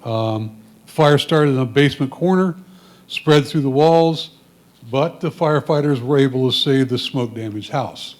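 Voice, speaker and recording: A man's voice: mid-range voice, normal speed, a little mellow. An American man gives matter-of-fact, semi-scripted commentary on his photographs, explaining them as he goes. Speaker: club photographer presenting own photos.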